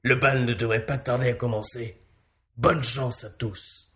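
A man playing a calm, confident mercenary commander with an authoritative manner in a science fiction audio story. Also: mid-range voice, measured pace, lightly acted. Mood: commanding.

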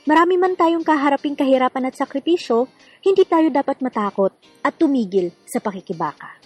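A woman's voice: high-pitched, expressive, fairly quick, a little mellow. A woman hosting a scripted radio drama, speaking in an emphatic, earnest way over music. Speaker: host and narrator of a radio drama series.

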